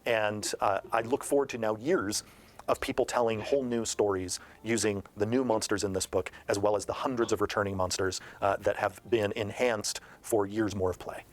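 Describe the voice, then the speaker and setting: A man's voice: fairly low pitch, steady tone, moderate pace, slight background noise. Explanatory man discussing a game book. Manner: explanatory, building long sentences with examples.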